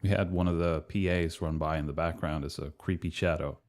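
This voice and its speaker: A man with a very low-pitched voice talks through a film as its director. His delivery is measured and matter-of-fact, explaining choices plainly. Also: steady tone, a little mellow. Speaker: film director giving commentary.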